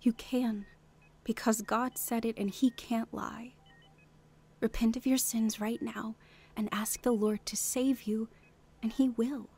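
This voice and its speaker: An actress playing a gentle, reassuring woman of faith in a radio drama, speaking tenderly and earnestly. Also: on the high side, fairly even intonation, slow pace, husky, full character voice. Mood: assured, urging.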